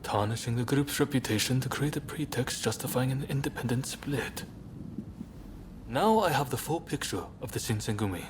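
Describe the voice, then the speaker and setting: An actor playing a serious, shrewd and wary rebel leader in a video game, speaking gravely and deliberately. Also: male voice, medium pitch, animated, noisy background, lightly acted. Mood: approving, shrewd. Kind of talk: dramatic video game cutscene acting.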